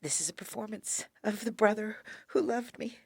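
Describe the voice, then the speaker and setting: An actress in an audio play playing a dying younger sister, weary, wry and resigned, with a frail, halting, breathy delivery that drops to whispers. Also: female voice, mid-range voice, no room sound. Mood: quiet, sad. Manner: frail, halting, wryly understated. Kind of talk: intimate audio play acting.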